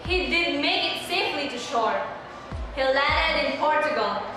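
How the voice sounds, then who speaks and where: A woman's voice: sits high, animated, unhurried. A young adult woman, a student narrator reading scripted storybook narration. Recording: echoey hall from far off, music behind.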